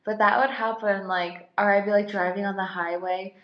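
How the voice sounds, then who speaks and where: A young woman whose first language is Polish talks in a loose, chatty way, circling back with likes and restarts. Her voice sits at a middle pitch. The room around her rings with echo. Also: fairly even intonation, moderate pace, dull audio. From United States. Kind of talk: casual podcast conversation. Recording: roomy echo.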